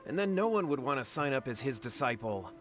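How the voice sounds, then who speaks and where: A man's voice: medium pitch, big pitch swings, a little fast, over music, close to an everyday voice. A man playing a nervous, cowardly young townsman in a video game, flustered and pleading as he talks. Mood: nervous confession.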